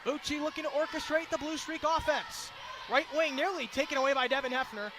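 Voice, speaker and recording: A man doing excited, projected radio play-by-play of basketball in short, clipped, rapid-fire phrases. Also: very high-pitched. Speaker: play-by-play commentator. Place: indoor basketball arena. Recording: loud crowd and gym noise. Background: crowd noise and bouncing basketball.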